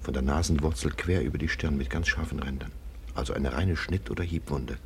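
A man's voice: very deep voice, fairly even intonation, a little fast, creaky, darkish tone, slight background noise. An actor playing a sober nobleman in a radio play, speaking in a matter-of-fact, clinical way.

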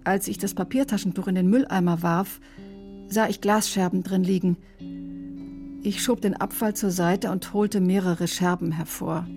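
A woman's voice: lowish voice, fairly even intonation, normal speed, music in the background, close to an everyday voice. An actress playing a defensive, conscience-stricken woman doctor in a radio drama, recounting events in a precise, clinical way. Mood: matter-of-fact. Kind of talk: German radio drama acting, testimony-like narration.

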